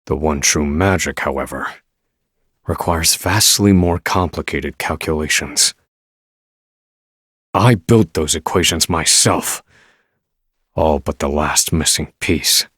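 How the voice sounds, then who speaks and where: Very deep, grave scholar. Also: male voice, a bit bright, husky, dry recording, clean studio sound, full character voice. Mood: brooding, analytical. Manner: formal, measured, stern. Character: grave, literal-minded male scholar of magic, escaped prisoner. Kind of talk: dramatic video game voice acting.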